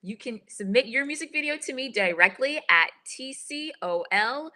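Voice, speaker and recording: Female host, crisp and bright, upbeat interviewer with a scripted promo read. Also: high-pitched, expressive, normal speed. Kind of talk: interview hosting.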